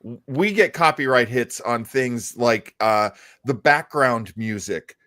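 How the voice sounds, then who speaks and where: A man's voice: mid-range voice, takes it slowly, bright, forceful tone, no echo, studio quality. A middle-aged man hosting a live show in casual, chatty conversation. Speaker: host of a live panel show. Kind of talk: casual chat.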